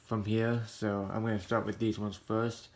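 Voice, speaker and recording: A man hosts a homemade hobby review in a fairly low voice. His pitch barely moves as he talks. He is casual and plain-spoken, feeling his way through sentences with some hesitation. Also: flat delivery, normal speed, darkish tone. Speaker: hobbyist video host. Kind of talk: casual product review to camera.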